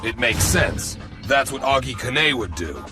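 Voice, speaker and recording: A man voicing a calm, noble and authoritative robot leader in an animated cartoon dub. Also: mid-range voice, measured pace. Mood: thoughtful. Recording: music behind the voice.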